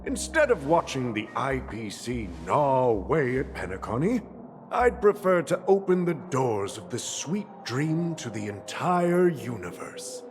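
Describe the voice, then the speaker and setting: A man's voice: mid-range voice, sing-song, measured pace, long reverb tail, faint music behind. An actor playing a shrewd, jovial old family boss in a video game, grandiose and chuckling in his condescension. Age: older. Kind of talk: theatrical video game acting.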